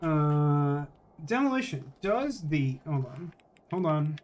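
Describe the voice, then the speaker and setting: Guy with a pretty high voice doing casual game stream talk, rambly, slangy, gets emphatic. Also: sing-song, takes it slowly, darkish tone. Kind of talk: casual live stream chat, game commentary.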